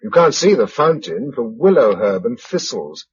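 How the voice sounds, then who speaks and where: A man's voice: medium pitch, sing-song, moderate pace, husky. An actor with an English accent playing a practical, watchful male survivor in a vintage radio drama. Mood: somber. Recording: dull, noisy old radio recording.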